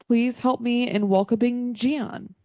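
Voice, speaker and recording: A woman's voice: on the high side, fairly even intonation, takes it slowly, warm tone, no echo. A woman moderating a session, reading a scripted introduction word for word in a formal manner.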